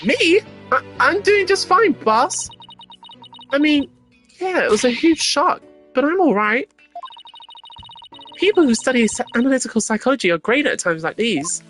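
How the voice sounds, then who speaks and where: Woman doing spunky young girl lawyer from a game, high peppy voice, super animated. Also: moderate pace, long pauses, faint music behind. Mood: flustered, upbeat. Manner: animated, eager. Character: spunky, emotional young female rookie lawyer. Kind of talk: amateur video game dialogue dub.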